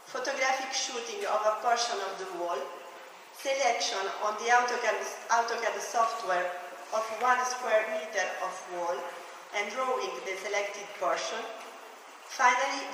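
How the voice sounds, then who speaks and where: A young Italian-accented woman delivers a conference paper in a careful, halting English, restarting words as she goes. Her voice is bright and on the higher side. She sounds far from the mic in a large, reverberant hall. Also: slight background noise. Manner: careful non-native phrasing with restarts. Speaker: conference speaker. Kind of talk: academic presentation.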